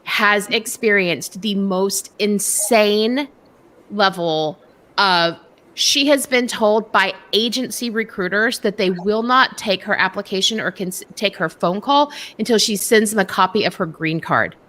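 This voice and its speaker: A woman hosting a casual panel discussion on a video call, talking in an impassioned, animated way with quick asides. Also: mid-range voice.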